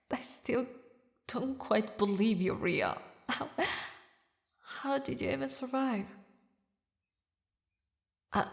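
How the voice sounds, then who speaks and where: A woman voicing a grieving, vengeful demon queen who is tender toward her lost lover, speaking dramatically and haltingly in a romantic audio roleplay, at times in a breathy whisper. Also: on the high side. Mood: tender, relieved.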